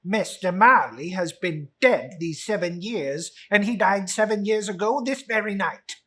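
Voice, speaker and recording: Man acting cold old miser, storybook villain, curt, scornful, husky voice, animated raised pitch. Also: a little slow, heavily acted. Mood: cold, sarcastic.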